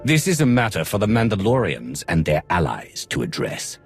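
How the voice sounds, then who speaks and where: An actor playing a grave, guarded Sith lord in a video game, measured and serious, with a husky voice. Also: male voice, low-pitched, wildly swooping pitch, faint music behind. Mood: grave, wary. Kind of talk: video game cutscene acting.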